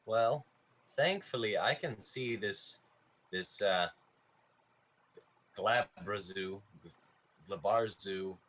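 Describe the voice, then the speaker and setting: Male D&D player, fairly low, bright voice, halting delivery. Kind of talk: tabletop game play.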